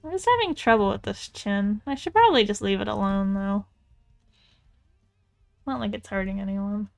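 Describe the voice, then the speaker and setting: A woman artist chatting casually while she draws, thinking out loud in a rambling, tentative way. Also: mid-range voice, moderate pace, vocal fry. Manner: rambling, musing aloud, tentative. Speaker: artist streamer. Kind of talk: casual chat while drawing. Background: music.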